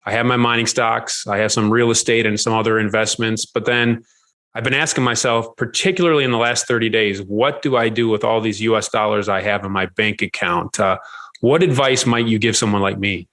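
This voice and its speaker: A man's voice: on the low side, steady tone, a little fast, fairly bright tone. A man hosting an interview show, welcoming his guest and asking questions in a friendly, conversational way. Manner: friendly, conversational, some fillers. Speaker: podcast host.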